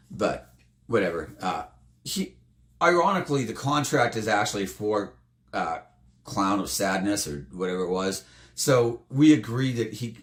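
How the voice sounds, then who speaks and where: A slightly hoarse man telling a tabletop game story in a casual, animated way. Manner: animated, jumps up in pitch for emphasis. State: slightly hoarse, losing his voice. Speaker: tabletop game player recounting a campaign. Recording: roomy, echoey room.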